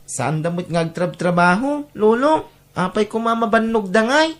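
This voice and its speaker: A woman's voice: mid-range voice, animated, normal speed, close to an everyday voice. A woman voicing an innocent young grandchild in a family radio drama, respectful and full of plaintive questions. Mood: puzzled, questioning.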